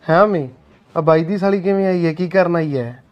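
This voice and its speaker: Man as whiny spoiled son, high-pitched, fast, lo-fi sound. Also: clear, resonant tone, close to an everyday voice. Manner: whines and pleads. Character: spoiled young adult son, whiny and demanding.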